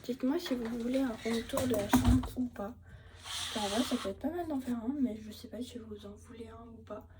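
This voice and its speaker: A woman's voice: on the high side, brisk. A young woman with a French accent chatting casually in a travel vlog, rambling with fillers and stuffed up with a cold. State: has a head cold. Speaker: vlogger. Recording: noisy ambience, distant from mic.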